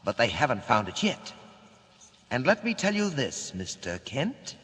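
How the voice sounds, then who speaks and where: An actor playing a smug, menacing gang boss in a deliberate, theatrical 1940s radio serial style. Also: male voice, mid-range voice, wildly swooping pitch, a bit bright. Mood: gloating menace. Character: menacing, gloating male gang boss, calm and mocking. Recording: vintage radio recording, reverberant, music behind.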